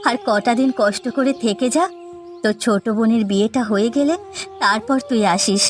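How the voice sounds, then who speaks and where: A woman's voice: a little high, moderate pace, natural voice. A woman voicing an older mother in a cartoon family drama, soothing her daughter in an evasive, placating way. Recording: background music.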